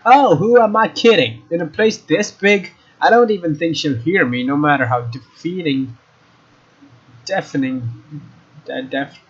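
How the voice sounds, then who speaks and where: A man's voice: fairly high pitch, slightly rough. A young man doing a let's play, reading a visual novel aloud and adding casual commentary. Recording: dull lo-fi mic, faint noise.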